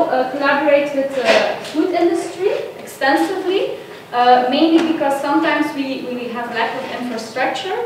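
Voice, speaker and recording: A woman, a lecturer giving a guest lecture with many pauses and "uh"s. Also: sits high. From Belgium. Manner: friendly, frequent "uh" pauses. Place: large echoey lecture hall. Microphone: distant room mic. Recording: echoey hall from far off, noisy.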